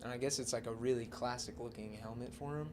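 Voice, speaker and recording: A man's voice: mid-range voice, steady tone, fast talker, darkish tone, slight background noise. A man hosting a podcast, chatting casually about films and comics in an informal, slangy way. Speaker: podcast host.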